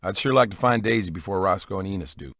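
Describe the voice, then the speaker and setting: A man's voice: sits low, lively intonation, fast, fairly bright tone, dry recording, studio quality, full character voice. An actor playing a determined, loyal young country man in a video game. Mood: concerned, determined. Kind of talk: scripted video game acting. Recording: clear car and siren noise.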